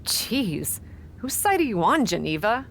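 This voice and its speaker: A woman voicing a cocky young female wrestler in a game, breezy, flippant and quick to defend herself. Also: mid-range voice, very animated, gravelly, a little hiss or hum. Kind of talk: game cutscene dialogue.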